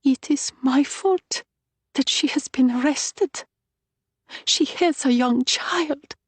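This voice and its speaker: A woman's voice: high-pitched, slow, dry recording, pitched above the performer's own voice. A woman voicing an anxious, pleading young woman, a wartime resistance courier in an audiobook, with a pinched, urgent delivery.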